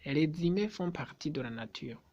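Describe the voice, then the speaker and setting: A man whose first language is not French, speaking to his subscribers in a vlog in a slow, deliberate way, often repeating himself and hesitating. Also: on the high side, expressive, darkish tone, dull audio. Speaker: YouTuber.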